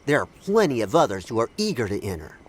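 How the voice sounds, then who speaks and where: A proud, challenging samurai from a video game, played by a man. His mid-pitched voice moves expressively through blunt, haughty, formal lines, with some music underneath. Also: sing-song, fast talker, lightly acted. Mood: scornful.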